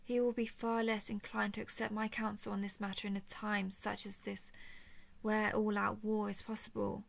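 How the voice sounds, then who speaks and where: A woman voicing a gentle, protective female dragon in a scripted roleplay audio drama, soft and soothing with formal, measured diction. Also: medium pitch, level tone, creaky. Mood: grave, soothing. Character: gentle, protective female dragon, earnest empathic royal daughter.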